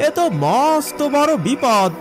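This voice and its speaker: A helpful village neighbour, acted by a man, talks in a strikingly high voice that jumps about. He is startled and concerned, in horror-cartoon style, with music behind. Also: animated, takes it slowly, full character voice. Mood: alarmed, concerned. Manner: alarmed, concerned. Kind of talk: animated horror story acting.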